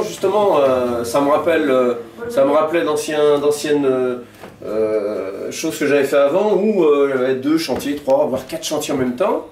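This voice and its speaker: A man, a building site supervisor, speaking hesitantly with many pauses and fillers in a casual interview. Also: a little high, a little mellow, slight background noise. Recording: echoey room, mic far off.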